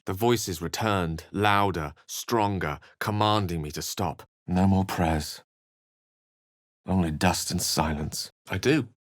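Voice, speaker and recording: An actor playing an erudite, courteous wizard in a fantasy video game, speaking in an eloquent, formal and dramatic way, with wry and melancholy shades. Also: male voice, low voice, slow pace, no echo, studio quality. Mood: solemn. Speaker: video game companion character. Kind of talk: theatrical fantasy game dialogue and narration.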